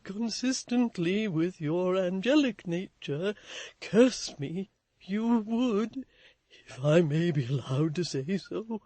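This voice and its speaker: A woman voicing an awkward young gentleman who stammers and loses his words. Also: mid-range voice, dry recording, voice pushed up.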